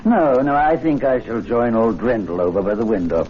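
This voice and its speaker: A man's voice: mid-range voice, lively intonation, normal speed, close to an everyday voice. An actor playing a haughty, volatile clubman in a radio drama, pompous and florid toward those beneath him. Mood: mocking, airy. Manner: pompous, theatrical, florid phrasing. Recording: dull, noisy vintage radio recording.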